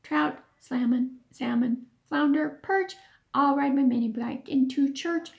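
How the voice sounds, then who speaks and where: A woman voicing a mischievous, excitable boy in a storybook, urging and chanting to egg on a friend. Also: high-pitched, unhurried, gravelly. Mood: coaxing, chanting.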